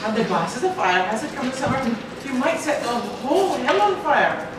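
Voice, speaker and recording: A woman voicing a brisk, nagging lady organiser in a live children's puppet show, full of scolding exclamations. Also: on the high side, big pitch swings, over a noisy crowd. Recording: noisy echoey hall, far from mic.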